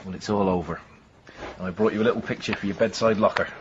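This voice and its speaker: A man's voice: on the low side, steady tone, quick pace, background noise, close to an everyday voice. Actor as reassuring Englishman, slightly muffled sound. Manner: reassuring, matter-of-fact. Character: kindly, gently joking male visitor friend. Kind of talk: radio drama acting.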